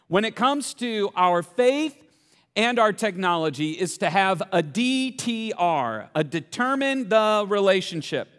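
High-voiced joking pastor in an echoey hall. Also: male voice. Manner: energetic, joking, many rhetorical questions. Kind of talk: sermon. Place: large church auditorium.

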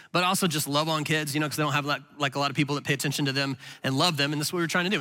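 A man's voice: a little high, pushed and bright. A middle-aged man, a pastor, tells a story in a sermon, chatting casually and often restarting his sentences. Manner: conversational, rambling with restarts. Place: large hall with audience. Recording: long hall reverb.

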